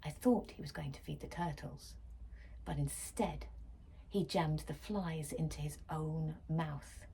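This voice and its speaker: A woman reading a children's story expressively and dramatically, shifting between hushed and emphatic delivery. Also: sits low, steady tone, measured pace, gravelly, a little hiss or hum. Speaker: story reader.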